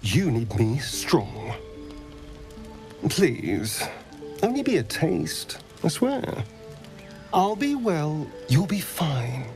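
A man's voice: a little high, sing-song, extremely slow, long pauses, darkish tone, soft background music, exaggerated voice. An actor playing a suave, sly vampire man in a video game, with theatrical, dramatic delivery and an undercurrent of need. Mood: earnest, pleading. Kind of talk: video game character acting.

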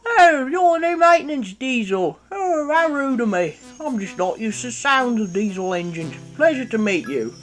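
A man's voice: very high voice, very animated, moderate pace, hardly any pauses, a bit bright, faint music behind, voice pushed up, exaggerated voice. A man voicing a flustered but friendly female railway truck in a children's story, spluttering and apologetic.

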